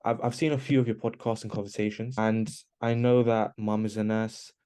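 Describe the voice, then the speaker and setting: A man hosting a podcast interview, asking casual questions in a slightly hesitant, halting way. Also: on the low side, flat delivery, warm tone, clear, resonant tone. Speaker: podcast host.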